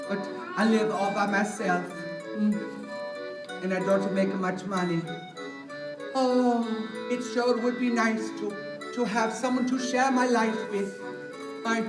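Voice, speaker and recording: A man voicing a poor, lonely old woodcarver puppet, folksy and muttering to himself in a live children's puppet show. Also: high-pitched. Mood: wistful. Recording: echoey hall, distant mic, music behind.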